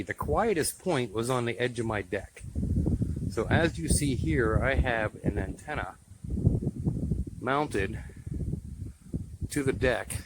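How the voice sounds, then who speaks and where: A man's voice: mid-range voice, noisy background. An American man giving a casual show-and-tell narration as a hobbyist video host.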